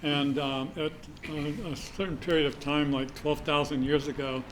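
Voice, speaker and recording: A man's voice: fairly high pitch, flat delivery, normal speed, a little hiss or hum, poor audio. A man in the audience telling a meandering, hesitant story, full of fillers. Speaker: audience member. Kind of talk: casual question from the audience. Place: community room.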